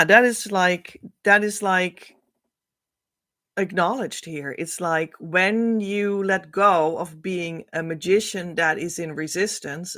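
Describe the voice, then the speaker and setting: Dutch-native woman, middle-aged, spiritual coach reading cards real slow, lowish voice, close webcam. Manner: slow, musing, drifts between soft and louder emphasis. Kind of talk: oracle card reading to camera.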